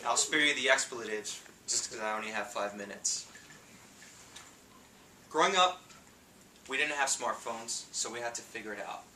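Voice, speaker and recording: A bright-voiced man in his thirties with a strong New York City accent delivers a comic speech with punchy, playful timing. He sounds distant from the mic, his voice ringing in an echoey meeting room with a faint hiss underneath. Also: medium pitch, moderate pace, pauses often. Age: 30s. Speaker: speech contestant. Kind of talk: humorous prepared speech.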